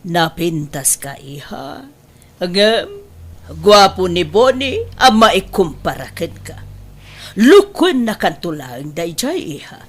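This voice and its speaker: An actress playing a controlling middle-aged mother in an Ilocano audio drama, insistent and deliberate, with an Ilocano accent. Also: lowish voice, big pitch swings, heavily acted. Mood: disapproving.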